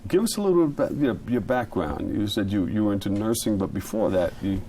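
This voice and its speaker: A male TV host with a lowish voice runs a casual interview. He is upbeat and playful, cracking jokes and nudging his guest along. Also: animated, quick pace, warm tone, a little hiss or hum. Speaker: TV show host.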